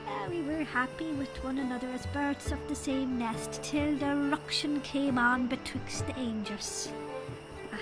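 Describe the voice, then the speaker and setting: High-pitched leprechaun king played by a woman, Irish accent, genial chatty storyteller, audio drama, music underneath. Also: low-quality recording.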